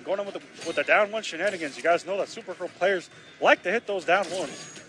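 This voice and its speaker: A man doing live play-by-play commentary on a fighting game, excitable and bursting loud on big plays, his voice slightly raspy from long casting. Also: high voice, a little fast, slight background noise. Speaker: esports commentator.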